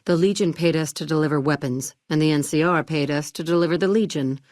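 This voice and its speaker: A woman acting in a video game as a shrewd, coolly controlled arms dealer and crime-family boss, speaking in a measured, polished, commanding way. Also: low voice, darkish tone, no echo, studio quality, natural voice. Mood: smug, calculating. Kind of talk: scripted game dialogue acting.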